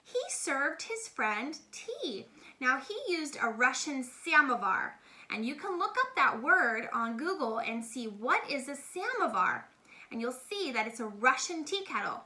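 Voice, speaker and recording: A woman's voice: sits high, very animated, measured pace, bright, forceful tone. A middle-aged woman speaking casually and enthusiastically to children as a read-aloud host, explaining things simply, in a homemade recording. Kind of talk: casual educational talk addressed to children.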